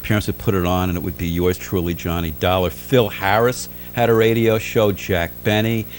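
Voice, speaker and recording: A man's voice: low-pitched, level tone, moderate pace, slight background noise. An older man, an interview guest and old-time radio fan, reminiscing earnestly and repeating himself for emphasis. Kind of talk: casual nostalgic interview chat.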